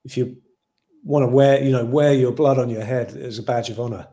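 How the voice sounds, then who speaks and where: A man's voice: mid-range voice, fairly even intonation, a little fast, warm tone. A man in his forties with an English accent, a film director answering questions on a video call in a thoughtful, rambling way. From Ireland. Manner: thoughtful, rambling with many "you know" fillers. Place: home room with shelves.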